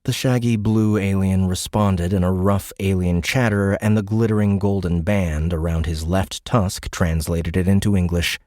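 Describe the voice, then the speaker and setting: A man's voice: sits low, steady tone, normal speed, few pauses, a little mellow, no room sound, studio quality. A man narrating a science fiction audiobook in a dramatic, scripted storytelling style.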